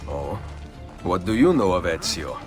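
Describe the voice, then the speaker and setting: An actor playing a confident, charming Renaissance nobleman assassin in a video game, speaking in a suave, measured Italian accent. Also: sits low, very animated, faint music behind. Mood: flirtatious, suave. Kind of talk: video game cutscene acting.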